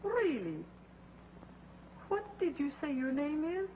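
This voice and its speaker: Siderine, cold alien tyrant. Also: female voice, high-pitched, sing-song, takes it slowly, a little hiss or hum, heavily acted. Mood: gloating. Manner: commanding, gloating. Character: power-hungry female alien ruler, cold and domineering. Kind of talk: 1950s TV sci-fi acting. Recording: dull old 1950s TV soundtrack, loud music behind.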